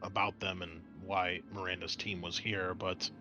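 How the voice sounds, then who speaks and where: A man voicing the measured, matter-of-fact severed head of an android in a tabletop game. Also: low voice, flat delivery, lo-fi sound, over music, natural voice.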